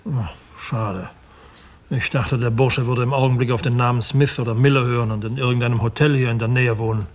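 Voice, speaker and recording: Detective's right-hand man, male actor, 1960s radio drama: medium pitch, rough-edged, brisk, informal, keen. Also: fairly even intonation, lightly acted. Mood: brisk, eager. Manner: brisk, informal, matter-of-fact. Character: eager, matter-of-fact detective subordinate reporting to chief. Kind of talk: 1960s German radio crime drama acting.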